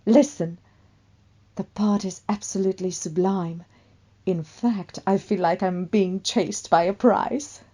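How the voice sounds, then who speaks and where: A woman's voice: medium pitch, fairly even intonation, slow pace, dark tone. A woman playing a vain, hot-tempered famous actress in a radio play, with a melodramatic, haughty manner. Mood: gushing. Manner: melodramatic, haughty, swinging from gushing to scolding. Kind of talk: one-sided radio play dialogue.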